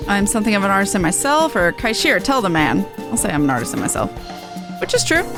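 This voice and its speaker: Woman game streamer, extremely lively intonation, casual, playful, teasing, clear game music behind. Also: mid-range voice, quick pace.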